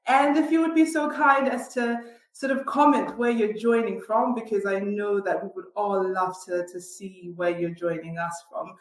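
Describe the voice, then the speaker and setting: A woman's voice: medium pitch, steady tone, normal speed, few pauses, reverberant, low-quality recording. A woman from Botswana hosting a live online event and welcoming viewers in an upbeat way. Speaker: host, head of communications.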